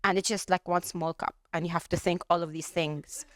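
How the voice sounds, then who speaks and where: Audience member, woman from Lebanon, lowish and close-miked, chatty and listing examples. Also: fairly even intonation, a little fast, no echo. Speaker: workshop participant. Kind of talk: audience comment at a workshop.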